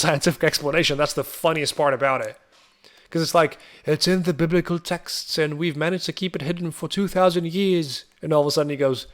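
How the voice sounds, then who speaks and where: A man co-hosting a podcast, chatting casually about a film in a rambling, excitable way. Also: a little high. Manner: rambling, excitable, does sound effects and quoted voices. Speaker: podcast co-host.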